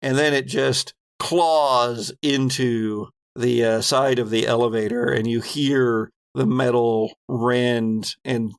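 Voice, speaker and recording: Guy game master telling scary rpg stuff, slow n deliberate, creaky voice, real dry close sound. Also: medium pitch, fairly even intonation, dry recording. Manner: deliberate, descriptive, pausing mid-sentence. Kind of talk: improvised narration of a horror tabletop game.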